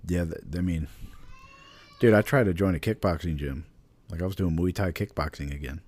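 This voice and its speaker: A man's voice: low voice, a little fast, darkish tone. A younger man co-hosting a podcast, talking in a laid-back, casual way and often saying "dude" and "like.". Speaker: podcast co-host. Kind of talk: casual chat.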